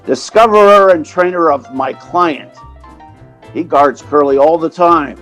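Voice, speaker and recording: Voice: mid-range voice, unhurried, a bit bright, soft background music, lightly acted. A performer playing a brash, colloquial theatrical agent who narrates and acts in an old-time radio comedy.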